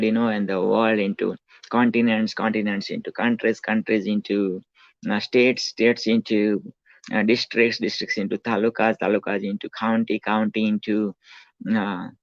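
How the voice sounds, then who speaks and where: Gravelly Gujarati-accented man, rambling. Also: fairly low pitch, no echo. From India. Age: 40s. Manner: hesitant, full of fillers and restarts. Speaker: self-help speaker. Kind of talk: rambling autobiographical monologue. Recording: dull lo-fi dry recording.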